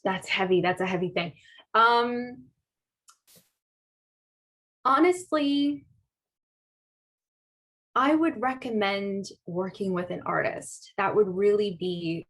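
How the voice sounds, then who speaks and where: A woman's voice: mid-range voice, takes it slowly, long pauses, a bit bright. A woman artist answering audience questions at a talk, thoughtful and hesitant, with many fillers and restarts. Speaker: guest speaker, artist.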